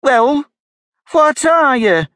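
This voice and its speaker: Storybook pigeon, female, shrill and scolding, fretful about her eggs; a male reader puts her on. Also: sing-song, extremely slow, sharp tone, dry recording, studio quality, heavily acted. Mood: contemptuous.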